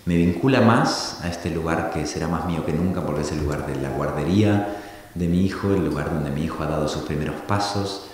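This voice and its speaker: Deep-voiced man musing in an echoey hall. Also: a little mellow. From Argentina. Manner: thoughtful, halting, with many restarts. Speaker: author at a public talk. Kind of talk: reflective public talk. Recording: echoey hall recording from a distance with faint hiss.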